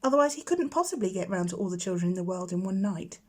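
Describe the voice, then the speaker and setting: A woman's voice: mid-range voice, lively intonation, fast, darkish tone, close to an everyday voice. A woman voicing a confident little schoolgirl in a storybook, chatty and proud, with a matter-of-fact know-it-all manner. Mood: explaining.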